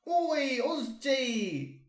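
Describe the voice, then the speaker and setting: A man's voice: very high-pitched, very animated, very slow, pitched above the performer's own voice, exaggerated voice. A man voicing a friendly, grateful shopkeeper in a put-on Russian accent. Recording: echoey room.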